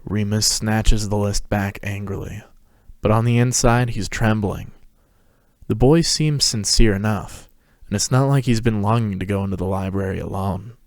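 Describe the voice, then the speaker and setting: Low-pitched male story narrator. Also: steady tone, moderate pace, darkish tone, no echo, clean studio sound. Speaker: narrator and podcast host. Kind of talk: scripted dramatic story narration.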